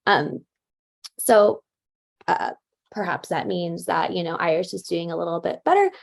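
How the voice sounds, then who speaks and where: A woman's voice: fairly low pitch, expressive, unhurried, vocal fry. A woman presenting research at an academic conference in a casual way, with many fillers and self-corrections.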